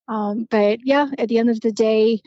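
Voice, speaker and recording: A woman in her forties, originally from Romania, talks through her story as a podcast guest. Her fairly high voice stays level and even, and she pauses often with ums and restarts. The sound is dry and a little muffled. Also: dry recording. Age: 40s. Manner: reflective, with frequent ums and restarts. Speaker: podcast guest, financial planner. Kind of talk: conversational interview.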